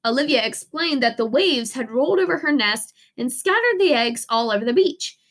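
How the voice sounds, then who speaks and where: A woman teacher reading a storybook aloud to children, expressive and instructive, pausing to ask questions. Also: sits high.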